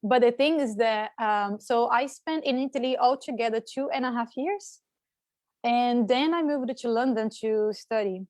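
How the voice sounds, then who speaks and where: A woman's voice: a little high, normal speed, darkish tone, no echo, studio quality. A young adult woman from Brazil, a native Portuguese speaker, talking hesitantly in an interview with many fillers and repeated words. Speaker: guest. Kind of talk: casual interview talk.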